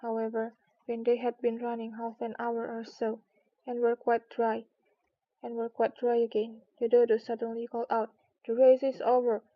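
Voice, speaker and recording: Casting: female storybook narrator, very even high voice with creak, careful reading, slightly dull recording. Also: normal speed, dry recording. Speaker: streamer reading books aloud.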